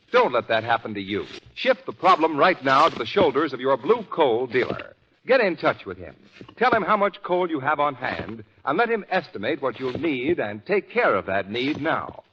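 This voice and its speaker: American male announcer for old-time radio ad copy, very lively intonation, muffled period sound. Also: medium pitch, normal speed. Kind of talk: scripted 1940s radio commercial. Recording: muffled old radio recording, faint hiss.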